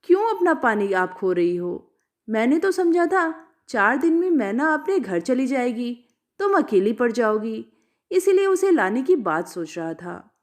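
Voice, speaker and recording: A woman narrator acts out a lovestruck young villager. He pleads earnestly, meek but with some defiance, in a high, lively storybook delivery. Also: unhurried. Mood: pleading.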